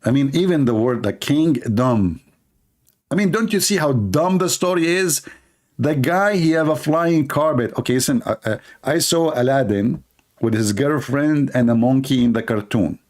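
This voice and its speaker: A man hosts a live stream in a sarcastic, mocking tone. His voice sits at an ordinary mid pitch, and he slips into funny voices to mimic the people he talks about. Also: expressive, moderate pace, a little mellow. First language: Arabic.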